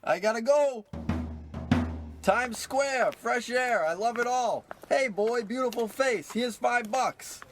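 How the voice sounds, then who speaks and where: Man playing a high-voiced, cranky reformed old miser. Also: slow, exaggerated voice. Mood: giddy, excited. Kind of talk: parody of old radio drama.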